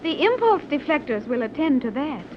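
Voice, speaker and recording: A woman's voice: sits high, big pitch swings, moderate pace. A coolly confident young woman from a secret advanced island pilots her submarine. Her phrasing is formal and precise, in 1930s serial style, on a dull old recording with light hiss. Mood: calm, assured. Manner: formal, precise, stilted phrasing without contractions. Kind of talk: 1930s radio serial acting.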